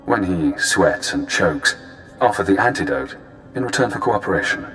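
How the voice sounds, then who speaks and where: An actor playing a cool, cunning spy handler in a video game, speaking in measured, cerebral, clipped phrases. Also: male voice, sits low, lively intonation, a bit bright, faint music behind, rough recording. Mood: calculating.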